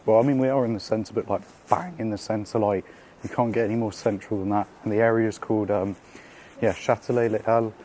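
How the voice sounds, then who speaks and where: A man hosting a casual food vlog while out in the city, his voice croaky from being ill, chatty and upbeat with lots of slang and fillers. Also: on the low side, fairly even intonation, a little fast, mellow, a little hiss or hum. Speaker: food vlogger. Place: out and about in city streets and a small eatery.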